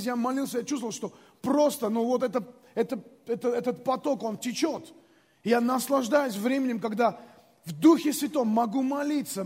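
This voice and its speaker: A man's voice: very high-pitched, a little mellow, slightly rough, long reverb tail. A man preaching a sermon in a conversational way, repeating phrases and calling the congregation to respond. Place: large church hall.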